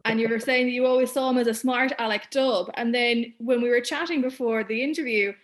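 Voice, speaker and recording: Bright woman interviewer on a call. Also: a little high, fairly even intonation, a little fast. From Ireland. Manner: friendly, laughs easily. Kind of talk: casual interview. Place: home room, indoors. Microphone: video call.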